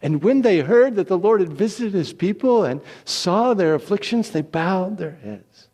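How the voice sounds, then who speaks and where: A man reading scripture as an expressive, theatrical narrator. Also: sits high, normal speed. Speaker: scripture reader.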